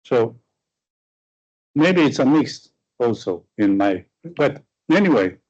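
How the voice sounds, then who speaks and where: Slow-speaking Romanian-accented man. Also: medium pitch, sing-song, a little mellow, highs cut off. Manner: halting, searching for words. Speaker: visiting lecturer. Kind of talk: answering a question after a lecture.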